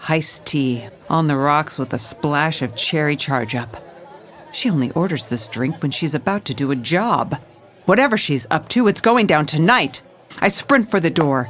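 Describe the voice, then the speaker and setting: An actress playing a world-weary, dogged female police commissioner, narrating noir-style in a dry, deadpan way. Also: low-pitched. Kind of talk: noir detective narration and dialogue.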